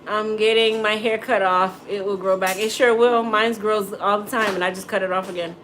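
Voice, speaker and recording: A woman's voice: medium pitch, fairly even intonation, normal speed, a bit bright, a little hiss or hum, poor audio. A middle-aged American woman, a hairstylist, chatting casually with live-stream viewers, joking and teasing as she answers. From United States. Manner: joking, teasing, laughs often.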